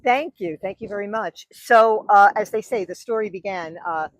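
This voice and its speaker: A woman's voice: mid-range voice, normal speed, no room sound. A woman in her sixties with a New York accent, telling her story as a wedding officiant on a podcast, chatty and full of fillers. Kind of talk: podcast interview.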